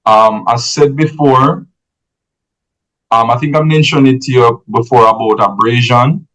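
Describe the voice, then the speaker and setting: A man, a podcast guest giving measured, explanatory advice who often checks in with "right?". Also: mid-range voice, steady tone, a bit bright, poor audio.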